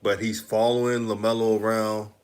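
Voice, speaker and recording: A man's voice: on the low side, little pitch movement, normal speed, a little mellow, clean tone. A man from Houston with a slight Texan accent, hosting a live stream, chatting loosely and laughing often while reading comments. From Houston, Texas. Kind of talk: casual live-stream talk, reading the chat.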